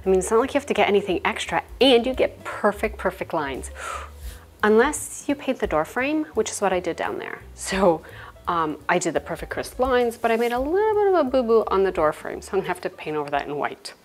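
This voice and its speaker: A woman's voice: mid-range voice, very animated, brisk, soft background music. A woman in her thirties hosting a home DIY tutorial at home, chatty and self-deprecating with playful asides, on a lapel mic. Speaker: home decor YouTuber. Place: home interior, hallway and craft table.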